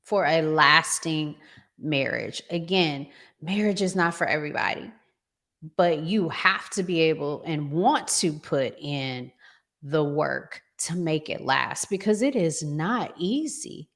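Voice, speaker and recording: A woman with a slightly low voice speaks at an unhurried pace as a talk show host. She gives advice conversationally and leans on key phrases, repeating them for emphasis. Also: expressive, fairly bright tone.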